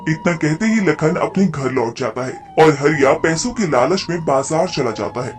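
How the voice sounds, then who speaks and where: A man's voice: on the high side, expressive, moderate pace, faint music behind. A man narrating a scripted folk story as the narrator.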